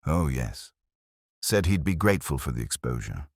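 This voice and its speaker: A man playing a blunt female town councillor, brisk and scornful, in a mystery story. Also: rumbling low, very animated, no echo, studio quality, full character voice. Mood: matter-of-fact, sceptical.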